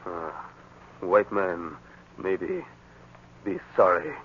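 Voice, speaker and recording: A man's voice: sits low, fairly even intonation, drawn-out words, fairly bright tone, full character voice. An actor playing an earnest, stubborn young man, a chief's son, who speaks terse broken English in 1940s radio style. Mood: defiant. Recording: narrow, phone-like, noisy 1940s radio recording.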